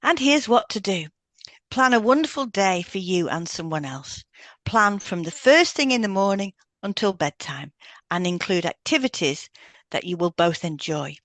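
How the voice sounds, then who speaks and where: A woman's voice: medium pitch, expressive, normal speed, a bit bright, dry recording. A woman co-hosting a podcast, reading out step-by-step instructions from a script with brief asides. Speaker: podcast co-host, hypnotherapist.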